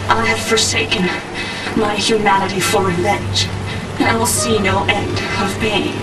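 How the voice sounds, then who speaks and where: An actress playing a bitter, vengeful cyborg villainess in a video game, with theatrical, grandiose menace. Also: female voice, mid-range voice, level tone, normal speed, natural voice. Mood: bitter, menacing. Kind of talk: video game cutscene acting. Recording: distant voice under loud music.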